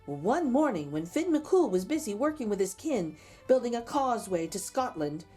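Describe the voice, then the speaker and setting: Female storyteller, animated and dramatic, reading to young children over soft music. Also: on the high side, normal speed, slightly rough. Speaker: storyteller reading a picture book aloud. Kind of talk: expressive read-aloud for children with chatty asides.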